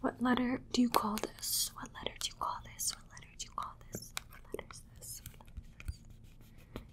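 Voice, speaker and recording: A woman's voice: fairly high pitch, little pitch movement, close to an everyday voice. Woman playing a whispering, clinical female alien doctor. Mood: clinical, instructing. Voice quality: soft breathy whisper. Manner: slow repetitive instructions, matter-of-fact. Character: female alien doctor, clinical, condescending, studying human captive. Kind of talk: whispered ASMR medical exam roleplay.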